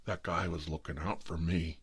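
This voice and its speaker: A boastful, paranoid old father, as a male storyteller puts him on. His low voice rambles and grumbles with dramatic self-pity, recorded dry for an ASMR story. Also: flat delivery, moderate pace, breathy edge, no room sound. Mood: relieved, accusing.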